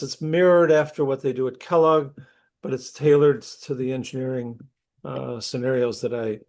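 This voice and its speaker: A man's voice: mid-range voice, a little mellow. Man with a cold, chatty instructor. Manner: conversational, clears throat often, restarts sentences. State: losing his voice from a cold. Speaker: university instructor. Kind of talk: opening a class session online. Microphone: computer mic on a video call.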